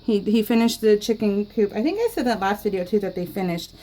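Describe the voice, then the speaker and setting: A woman's voice: medium pitch, brisk, mellow. A middle-aged woman chatting casually in a rambling, giggly way as she narrates a craft video. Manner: giggly, frequently laughing, rambling anecdotal storytelling. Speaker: scrapbooking vlogger.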